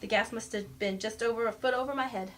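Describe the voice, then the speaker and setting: A woman's voice: on the high side, fast talker, a bit bright, close to an everyday voice. A woman playing a small, brazen, slangy female murder suspect in a radio mystery. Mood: feigned innocence. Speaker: amateur voice actor in an audio mystery. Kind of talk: scripted radio mystery acting.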